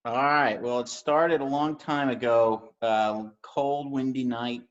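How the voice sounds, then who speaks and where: A man's voice: mid-range voice, steady tone, slow pace. A man who grew up in New York, speaking as an interview guest in a casual, easygoing storytelling way. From New York, United States. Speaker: interview guest, construction analytics CEO. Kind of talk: casual conversational personal storytelling. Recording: dull, lo-fi sound.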